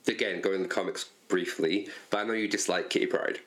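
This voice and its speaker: A man's voice: low voice, fairly even intonation, fairly quick, fairly bright tone. A man co-hosting a casual podcast, talking keenly and often tripping over and repeating his words. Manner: enthusiastic, stumbles and repeats words. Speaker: podcast co-host. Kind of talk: casual chat about cartoons and comics.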